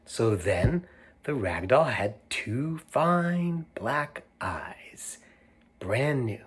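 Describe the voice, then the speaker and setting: A middle-aged man reads a children's picture book aloud at a slow, patient pace. He talks gently to young listeners and stops to show them the pictures. His voice sits at an ordinary pitch and moves a little closer to the camera mic now and then. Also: big pitch swings, husky. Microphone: camera mic, moving toward it to show pages.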